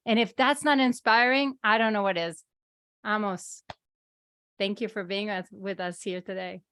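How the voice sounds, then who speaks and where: A woman's voice: mid-range voice, moderate pace, a bit bright, clear, resonant tone, dry recording. A woman hosting an interview show, introducing and questioning her guest in an effusive, enthusiastic way. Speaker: host. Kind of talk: podcast interview.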